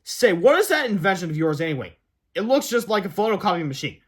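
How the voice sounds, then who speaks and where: A cheeky young schoolboy, played by a man reading a storybook, high in pitch. He talks casually and wisecracks like a born prankster. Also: sing-song, fast talker, slightly rough, exaggerated voice. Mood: cheeky, skeptical.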